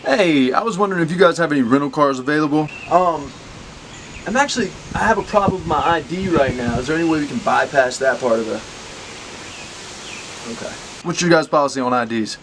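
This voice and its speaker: A man's voice: on the high side, lively intonation, fairly quick, a bit bright, slight background noise, low-quality recording. A man playing a frustrated man on the run in naturalistic drama dialogue, casual, hesitant and haggling. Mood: pleading, casual.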